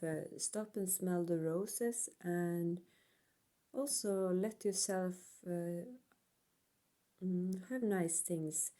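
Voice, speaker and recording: A woman with a Swedish accent doing a casual tarot reading, hesitant and full of pauses, speaking English as a second language. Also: fairly low pitch, dark tone. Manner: hesitant, many ums and pauses. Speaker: tarot reader. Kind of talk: slow casual card reading.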